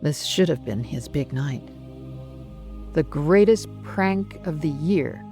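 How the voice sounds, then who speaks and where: A slow, deliberate horror narrator with a mid-pitched voice that could be a man's or a woman's. The delivery is dramatic and menacing, built on theatrical pauses, with faint music underneath. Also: expressive, a little mellow.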